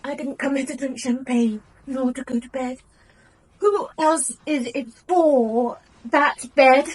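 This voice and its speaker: A woman's voice: high voice, fairly even intonation, slow pace, breathy edge, full character voice, rough recording. An actress playing a proud, wounded young woman confronting her deceitful lover in a radio drama, with clipped, bitter phrases. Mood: accusing, controlled.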